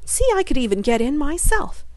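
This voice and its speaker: A woman voicing a wicked, greedy old witch woman in a storybook reading, coaxing and barking sharp commands. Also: high voice, big pitch swings, moderate pace, no echo, pitched above the performer's own voice. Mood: harsh scolding.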